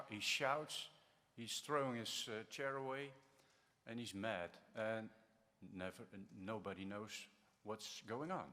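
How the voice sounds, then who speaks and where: A man's voice: mid-range voice, fairly bright tone. Dutch man telling a story onstage. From Netherlands. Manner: earnest, vivid storyteller with restarts. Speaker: conference speaker. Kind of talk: storytelling keynote pitch. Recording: reverberant conference hall.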